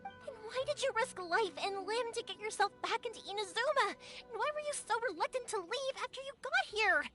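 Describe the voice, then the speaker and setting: A woman's voice: very high-pitched, animated, brisk, music in the background. A woman voicing a spirited, headstrong young fireworks maker in a video game, emphatic and impassioned. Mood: pleading, insistent. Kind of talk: English video game voice acting.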